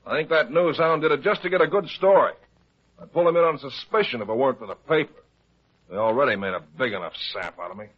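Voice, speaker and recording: Excitable slangy police lieutenant, mid-pitched, raspy, indignant, muffled vintage radio detective drama. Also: male voice, fast talker. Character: excitable, grumbling, hot-headed police lieutenant. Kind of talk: old-time radio detective drama acting. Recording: muffled lo-fi old radio recording.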